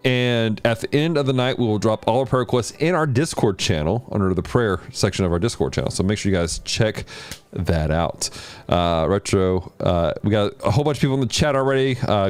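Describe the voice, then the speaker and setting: A man hosting a livestream of video games and Bible study, chatting casually with viewers and teaching in an animated way. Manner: animated, jumps in pitch when greeting chat. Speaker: host of a gaming and Bible study stream.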